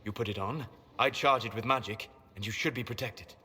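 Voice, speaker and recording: An actor playing a calm, scholarly mage in a video game, with precise, formal speech. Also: male voice, fairly low pitch, brisk. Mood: calm, explanatory. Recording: long reverberant hall, faint noise.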